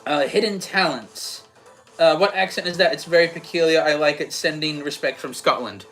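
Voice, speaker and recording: A young man with an Australian accent softened by American, chatting casually and rambling a little as he hosts a livestream and answers comments. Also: on the high side, normal speed, low-quality recording. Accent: Australian with some American. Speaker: livestream host. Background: background music.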